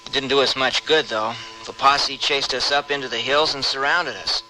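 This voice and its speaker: A man's voice: mid-range voice, moderate pace, over music, lightly acted. A man playing a regretful, folksy young ex-convict cowboy in an old-time radio western. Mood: regretful, frank. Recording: slightly noisy radio drama recording.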